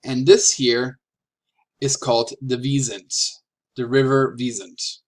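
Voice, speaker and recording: Guy from germany doing a student slide talk, really slow, careful w lots of pauses. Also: medium pitch, flat delivery, low-quality recording. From Franconia, Germany. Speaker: graduate student presenter. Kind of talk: prepared slide presentation.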